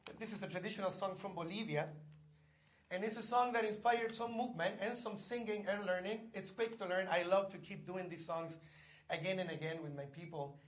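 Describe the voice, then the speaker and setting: High-voiced man leading a sing-along. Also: a little fast. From northern Chile. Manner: gentle, encouraging, loosely worded explanations. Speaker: community music leader and bandleader.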